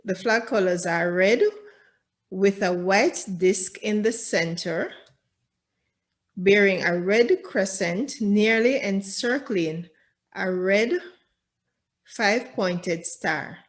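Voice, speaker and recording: A woman hosting a podcast, reading a scripted intro and then welcoming and questioning her guest. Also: medium pitch. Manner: slow, deliberate reading, enthusiastic welcomes. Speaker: podcast host.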